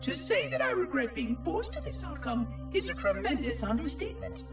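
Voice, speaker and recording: A small floating machine caretaker from a video game, precise and strangely chipper. The voice sits high and leaps around, with game score playing clearly behind it. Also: male voice, huge pitch swings, fairly quick, recorded from a distance, over loud music, heavily acted. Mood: solemn. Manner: precise, formal, oddly cheerful. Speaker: monitor of an installation.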